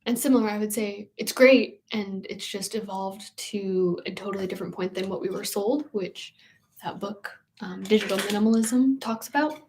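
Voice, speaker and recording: A woman in her late twenties co-hosting a live question-and-answer chat, speaking in a calm, matter-of-fact way. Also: mid-range voice, moderate pace, slight background noise. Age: 20s. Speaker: co-host.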